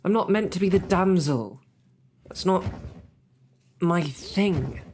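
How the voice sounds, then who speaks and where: A woman's voice: lowish voice, expressive, slow, a little mellow, a little hiss or hum. A woman voicing a snarky, formal captured royal knight in an audio roleplay. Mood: huffy, resigned. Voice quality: formal, wordy and wry. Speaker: audio drama performer.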